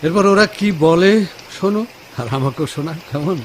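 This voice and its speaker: A man's voice: high-pitched, normal speed, a little hiss or hum, lightly acted. A man acting an impatient, dismissive husband in a Bengali radio drama, brusque and insistent.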